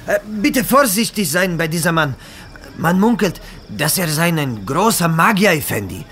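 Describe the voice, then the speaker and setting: Superstitious Egyptian servant, audio drama: high-pitched, urgent, broken speech. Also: male voice, sing-song, moderate pace, a bit bright, a little hiss or hum. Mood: fearful warning. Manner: broken grammar, urgent warning. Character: anxious, superstitious Egyptian manservant. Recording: music under the voice.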